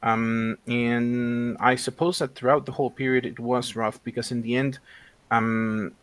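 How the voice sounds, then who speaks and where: A man in his thirties from Mexico talks through a casual interview, pausing often with fillers and restarting his sentences. His voice sits at an ordinary middle pitch. The sound is dry, with no room echo. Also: steady tone, a bit bright. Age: 30s. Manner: hesitant, many fillers and restarts. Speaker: guest.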